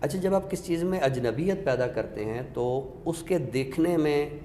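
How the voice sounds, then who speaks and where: A man's voice: fairly high pitch, expressive, moderate pace, dark tone. A man explains literary ideas step by step with examples, in a didactic way, as an expert guest. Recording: echoey reverberant room with background noise.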